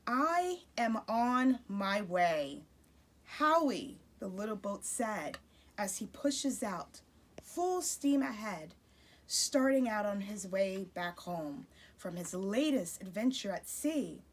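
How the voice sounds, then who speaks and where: A woman's voice: medium pitch, sing-song, slow. A woman speaking as a visiting children's author, addressing young children in an encouraging, gushing way. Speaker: guest children's book author. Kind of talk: warm address to young children, read-aloud.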